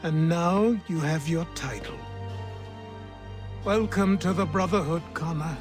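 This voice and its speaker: An actor playing a stern older mentor who welcomes a new member into a secret brotherhood, speaking in a measured, solemn way, in a video game cutscene. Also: male voice, a little high, over music, lightly acted.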